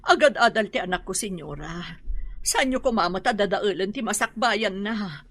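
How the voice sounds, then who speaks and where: Humble mother, very lively pitch. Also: normal speed, no room sound. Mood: distressed, respectful. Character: humble distressed mother defending her child. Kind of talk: Filipino radio drama acting.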